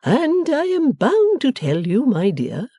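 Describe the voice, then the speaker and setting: A woman's voice: high-pitched, wildly swooping pitch, slow, mellow, breathy edge, no echo, clean studio sound, pitched above the performer's own voice, full character voice. A woman voicing a meek, submissive middle-aged father in a storybook, faltering and placating.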